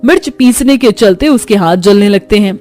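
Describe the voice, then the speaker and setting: A woman's voice: mid-range voice, expressive, normal speed, darkish tone, soft background music. A woman storyteller reading scripted narration of a moral tale.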